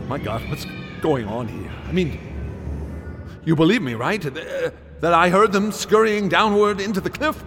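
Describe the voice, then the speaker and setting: An actor playing an excitable, haunted older American gentleman in a radio horror drama, speaking in a halting, stammering, agitated way. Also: high voice, natural voice. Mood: frantic, fearful. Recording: echoey vault reverb, music behind.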